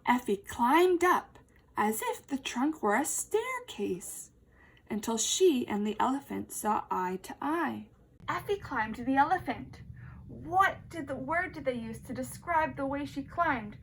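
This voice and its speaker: A woman's voice: high-pitched, unhurried, slight background noise, rough recording. A woman teacher reading a storybook expressively to young children, speaking to them directly and putting questions to them. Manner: animated, emphatic, addressing young listeners directly.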